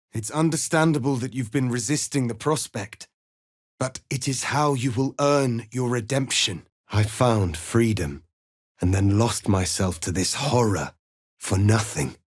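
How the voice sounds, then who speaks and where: A proud warrior prince of an alien race in a dramatic fantasy game. He speaks very slowly, with solemn weight and formal, commanding phrasing. There is a grave, embittered nobility to him. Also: mid-range voice. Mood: solemn resolve, then despairing. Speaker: video game character.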